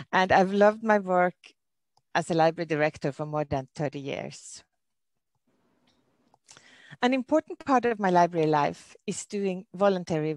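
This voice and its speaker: A middle-aged Norwegian woman, a library director, giving a webinar talk in careful, slightly halting English with a Norwegian accent. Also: on the low side, dry recording. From Norway. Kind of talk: webinar presentation. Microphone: computer mic on a video call.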